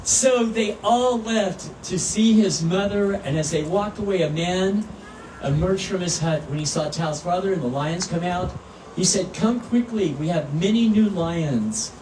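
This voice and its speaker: A man reading a children's story aloud at a live event in an expressive, earnest way. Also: high voice, a little slow. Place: outdoor public event at animal habitat. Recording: roomy, distant mic, noisy outdoor ambience.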